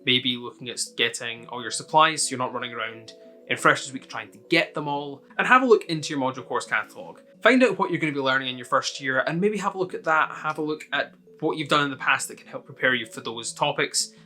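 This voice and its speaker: Bright-voiced young Scottish man. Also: medium pitch, expressive, fairly quick, faint music behind. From Scotland. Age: young adult. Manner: friendly, explanatory, answers questions one by one. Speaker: student video host. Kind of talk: casual advice talk to camera.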